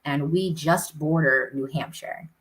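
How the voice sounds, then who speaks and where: A woman's voice: sits low, measured pace, fairly bright tone, rough recording. A woman city councilor answering interview questions earnestly, often tagging points with "right?".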